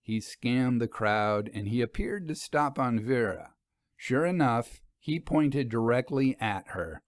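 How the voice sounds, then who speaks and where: A man reading a scripted story as narrator, in a measured, literary storytelling manner. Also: medium pitch, lively intonation, dry recording.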